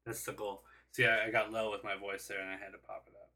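A man's voice: on the low side, level tone, fast talker, sharp tone. A man hosting a casual podcast, talking candidly and self-deprecatingly about his painting shows, swearing now and then. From Southern California. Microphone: single shared podcast mic.

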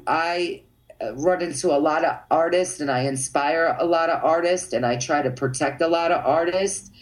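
A woman's voice: deep voice, low-quality recording. Woman artist guest, loose and rambling, drops swear words, keeps checking you're with her. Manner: rambling, frequent "you know what I mean", casual swearing. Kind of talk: casual interview talk.

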